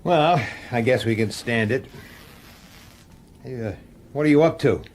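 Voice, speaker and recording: An actor playing a gruff, wary police detective in a radio drama, talking in a blunt, colloquial, urgent way. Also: male voice, medium pitch, unhurried, close to an everyday voice. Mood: weary. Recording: noisy old radio drama soundtrack.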